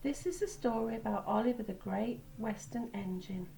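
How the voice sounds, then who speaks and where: A woman reading a picture book aloud to children in a teacherly way, pausing for asides about the pictures. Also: on the high side, creaky, mellow, a little hiss or hum. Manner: teacherly, pointing out pictures and repeating words.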